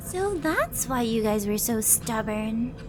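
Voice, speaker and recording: A woman's voice: on the high side, sing-song, slow, background noise. A woman playing a sadistic, flirtatious demon princess in an ASMR roleplay audio drama, teasing and mock-sweet in a theatrical way. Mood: scheming, sardonic. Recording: music under the voice.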